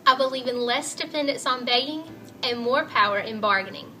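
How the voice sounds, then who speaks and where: Bright, high-voiced young woman reciting. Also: brisk. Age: young adult. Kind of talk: recited creed, formal reading. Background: soft music.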